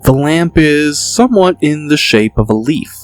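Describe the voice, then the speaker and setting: A man's voice: a little high, moderate pace, no room sound, faint music behind. Man narrating a fantasy audiobook. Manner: steady, descriptive storytelling.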